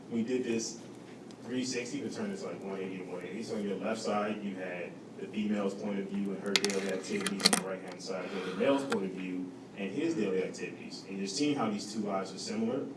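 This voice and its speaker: A man with a fairly low voice talks through his work in a conversational way, as a guest at a panel. The microphone is some way off, so the room echoes around him and noise is clearly audible. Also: far from the mic. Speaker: guest speaker. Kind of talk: conversational explanation at a panel talk. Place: indoor event room with audience.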